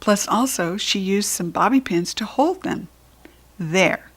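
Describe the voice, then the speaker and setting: A woman with an American accent narrating a children's storybook expressively, with animated, dramatic emphasis. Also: mid-range voice, moderate pace, breathy edge. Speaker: storybook reader.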